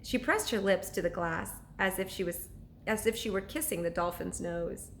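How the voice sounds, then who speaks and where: A woman reading a children's story aloud like a teacher to pupils, with expressive, deliberate narration. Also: medium pitch, a little hiss or hum.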